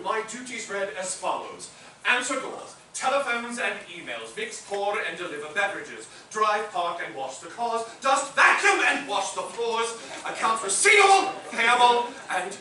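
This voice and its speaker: A man's voice: sits high, bright, forceful tone, heavily acted. A man playing a fussy, formal, put-upon butler of fifty in a staged comedy reading. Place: theater stage, recorded from a distance. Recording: echoey theater, distant mic, faint noise.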